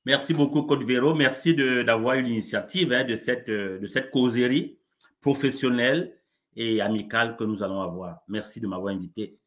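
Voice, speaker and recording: A man, a coach being interviewed live over a video call, speaking in a warm, measured way with frequent restarts. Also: medium pitch. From Cameroon. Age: 40s. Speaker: coach and guest.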